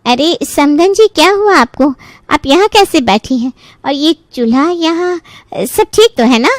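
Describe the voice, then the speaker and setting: A woman playing a polite, concerned middle-aged mother of the bride in an animated family story. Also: high voice, a little slow, a little hiss or hum. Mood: puzzled, concerned. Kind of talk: Hindi animated story dialogue.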